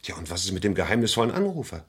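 Radio crime drama: proud wronged suspect, low voice with lively swings, bitter and insistent. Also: male voice, racing, husky, exaggerated voice. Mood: questioning. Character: aggrieved, proud male actor suspected of murder. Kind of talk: German radio crime play acting.

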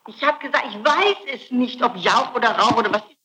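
A woman's voice: fairly high pitch, expressive, brisk, sharp tone, low-quality recording. A middle-aged woman answering interrogation questions, insistent and defensive, repeating her denials, on an old 1960s archival tape. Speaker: suspect being interrogated.

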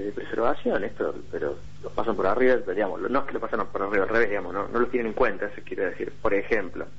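Male interviewee, low and raspy, unscripted explanation, muffled clip.

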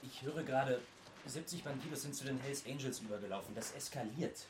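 An actor playing an urgent police colleague in a radio play. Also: male voice, medium pitch, brisk, close to an everyday voice. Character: urgent male police colleague interrupting from aside. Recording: echoey, distant, clear background noise.

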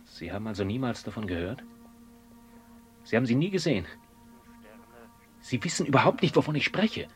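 An actor playing an agitated, self-important tycoon who speaks urgently and insistently in a radio play. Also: male voice, medium pitch, big pitch swings, brisk, long pauses, soft background music, natural voice. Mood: insistent, desperate.

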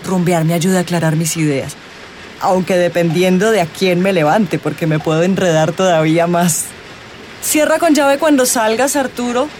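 A woman's voice: on the low side, normal speed, darkish tone, a little hiss or hum, close to an everyday voice. An actress playing an impulsive, flirtatious party-loving woman with a Colombian accent in a radio drama. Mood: playful, carefree.

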